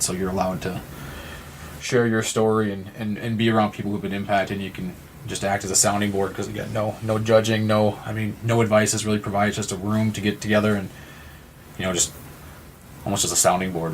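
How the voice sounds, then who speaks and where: A man's voice: on the low side, flat delivery, brisk, a little hiss or hum, rough recording. A man in his thirties speaking earnestly and conversationally as an interview guest, in a wordy way that circles back on phrases. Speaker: podcast guest from a support non-profit.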